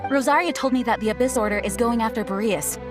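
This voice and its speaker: An actress playing a dutiful, composed knight commander in a video game, speaking formally and politely. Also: female voice, fairly high pitch, fast, lightly acted. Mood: earnest, concerned. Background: game music.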